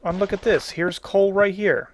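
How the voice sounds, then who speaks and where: A man's voice: sits high, steady tone, normal speed, no echo. A man hosting a casual video game tutorial, explaining each step in plain, folksy wording. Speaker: tutorial host.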